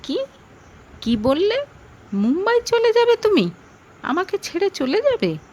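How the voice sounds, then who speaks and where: A woman's voice: extremely high pitch, big pitch swings, slow, darkish tone, pitched above the performer's own voice, heavily acted. A woman voicing a hot-headed, possessive male lover with a demanding, intense manner in a dramatic audio story. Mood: shocked, angry.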